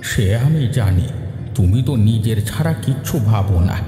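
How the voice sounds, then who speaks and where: A man voicing an anxious, trusting elderly village father in a cartoon story, speaking in a slow, weary, plaintive way. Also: on the low side, animated, dark tone. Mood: worried. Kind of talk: Bengali cartoon story acting. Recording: echoey hall reverb, background music.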